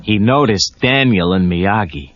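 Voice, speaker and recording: A man's voice: fairly low pitch, animated, measured pace, dry recording, faint music behind. A man narrating a read-along story in a scripted storytelling style. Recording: noisy lo-fi cassette, crowd behind.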